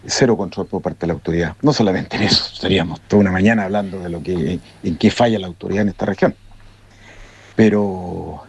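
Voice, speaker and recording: A man's voice: low-pitched, fast, gravelly, husky. A man with a Chilean accent giving his opinion informally to a show, in a colloquial, emphatic and repetitive way.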